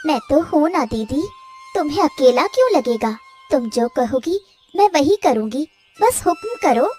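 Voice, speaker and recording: Woman voicing a high-pitched, doting young wife. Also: sing-song, a little slow, a little mellow, soft background music. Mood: affectionate. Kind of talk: Hindi cartoon story dialogue.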